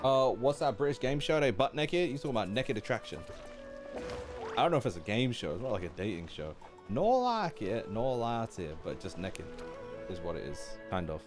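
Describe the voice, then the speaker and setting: Game streamer, live reaction commentary, lively intonation, playful, mid-pitched, music behind. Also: moderate pace. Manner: playful, joking, talks to the game and chat. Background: video game music.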